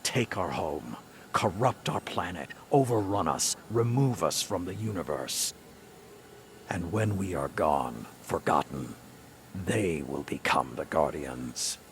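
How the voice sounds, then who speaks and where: An actor playing a grave, stern veteran commander in a video game, with a gravelly voice and a solemn, commanding, deliberate delivery. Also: male voice, deep voice, animated, slight background noise, heavily acted. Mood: grave resolve. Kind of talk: dramatic video game dialogue. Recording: dramatic music behind the voice.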